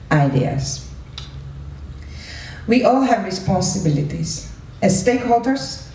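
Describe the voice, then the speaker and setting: A woman's voice: medium pitch, mellow. Echoey, distant woman moderating an event. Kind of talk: informal live event moderation. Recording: echoey room, distant mic, faint noise.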